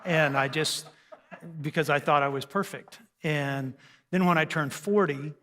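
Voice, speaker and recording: Older male seminar speaker, conversational and humorous, higher-set voice, big reverberant room. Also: a little slow, sharp tone. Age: 60s or older. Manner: folksy, conversational, joking, self-deprecating. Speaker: speaker on raising teens, radio host. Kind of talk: humorous seminar talk to a church audience. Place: large church hall, speaking to an audience. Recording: live hall with long reverb.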